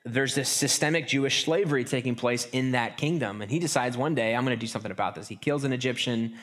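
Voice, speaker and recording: A man's voice: medium pitch, fast talker, a bit bright. Conversational male pastor preaching. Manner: conversational, with restarts and acted asides. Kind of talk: sermon.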